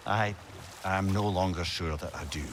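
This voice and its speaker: A man's voice: sits low, moderate pace, slight background noise, natural voice. A man playing a chatty, witty, easily irked companion in a video game, with a British accent. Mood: curious, cautious. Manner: talkative, animated. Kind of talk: video game cutscene acting.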